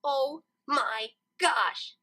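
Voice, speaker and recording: Storybook role: excitable young girl pony. Squeaky and bright, very high-pitched, gushing with toy-fan enthusiasm. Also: very slow, heavily acted. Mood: awed excitement. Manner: gushing, breathless exclamations.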